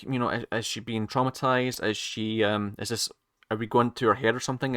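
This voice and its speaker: A man hosts a casual reaction video in a bright, forceful voice. He talks haltingly, rambling and doubling back on his own phrases. The sound is dry, with hardly any room in it. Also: medium pitch, no echo. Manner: halting, rambling, circles back to repeat himself. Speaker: reaction channel host.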